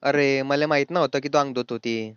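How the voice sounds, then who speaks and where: A man playing a brash, lecherous brother-in-law in a crude comedy cartoon, speaking gruff, teasing rural Ahirani from north Maharashtra. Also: medium pitch, steady tone, a little fast, no room sound, natural voice. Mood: flirtatious. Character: lecherous, brash brother-in-law visiting his wife's family. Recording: slightly dull, lo-fi dry voice track.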